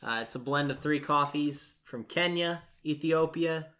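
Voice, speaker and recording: A man's voice: a little high, unhurried, pushed and bright. A man co-hosting a podcast in a casual, agreeable conversational style. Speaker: podcast co-host. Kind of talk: casual chat.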